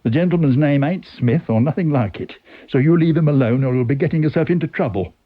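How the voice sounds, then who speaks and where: A man's voice: on the high side, quick pace, phone-quality sound, voice pushed up, close to an everyday voice. Man playing a firm, colloquial policeman. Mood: firm, soothing. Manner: colloquial, soothing but firm. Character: calm, firm working-class male policeman, gently patronising.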